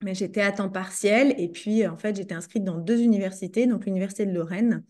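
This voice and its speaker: A woman's voice: medium pitch, brisk, mellow. Hesitant woman with a French accent. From France. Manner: hesitant, frequent fillers and restarts. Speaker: psychologist presenting her doctoral research. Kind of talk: academic presentation. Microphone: video call.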